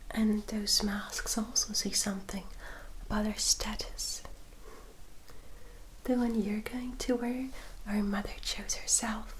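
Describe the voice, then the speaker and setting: A woman playing a sweet, dutiful young vampire sister in a whispered ASMR roleplay, speaking softly, tenderly and a little hesitantly. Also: medium pitch, a little hiss or hum, lightly acted, low-quality recording. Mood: caring, attentive. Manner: soft, hesitant, tender, unhurried.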